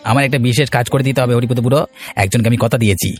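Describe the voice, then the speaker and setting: A man's voice: medium pitch, racing, soft background music, natural voice. A man voicing a stubborn, threatening village bully in a cartoon, domineering and insistent. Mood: menacing, demanding. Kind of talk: Bengali cartoon villain acting.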